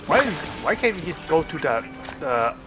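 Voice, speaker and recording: Rambling man streaming over loud game music. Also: on the high side, big pitch swings. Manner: rambling, halting, slangy. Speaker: livestreamer playing a game. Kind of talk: casual reacting commentary. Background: game music and dialogue.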